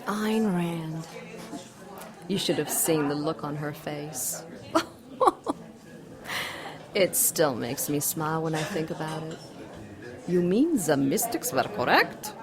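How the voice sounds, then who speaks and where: Demon temptress, fairly low pitch, sly, amused, smug, seductive villainess, audio drama, busy crowd background. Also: female voice, lively intonation, takes it slowly, long pauses, full character voice.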